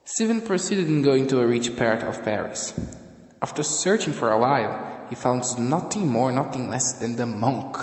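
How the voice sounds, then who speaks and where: A man narrates a story from a script for a fan audio drama. His pace is slow. The recording is echoey, with a long reverb around the voice. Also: mid-range voice, darkish tone.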